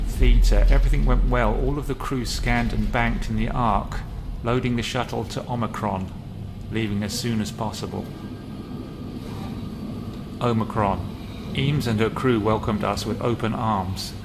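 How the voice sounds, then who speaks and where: A man's voice: fairly low pitch, steady tone, measured pace, gravelly, background noise. An actor playing a weary male crewman who dictates a log in a video game. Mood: weary, reporting. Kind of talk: game voice acting, log entry.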